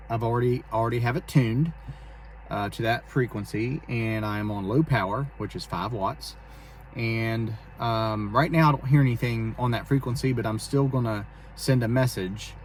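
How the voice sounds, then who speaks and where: A man casually explaining and demonstrating amateur radio to a phone camera, speaking in a hesitant, halting way. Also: fairly low pitch. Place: inside a car for the later part. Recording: clearly noisy phone video recording.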